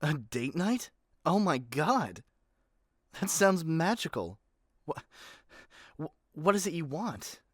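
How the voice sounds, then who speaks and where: A man's voice: on the high side, sing-song, slow, long pauses, fairly bright tone, breathy edge, dry recording, studio quality, full character voice. A man playing a doting yet controlling yandere kidnapper in an ASMR roleplay, speaking intimately to the listener with sweet pet names. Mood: excited, possessive.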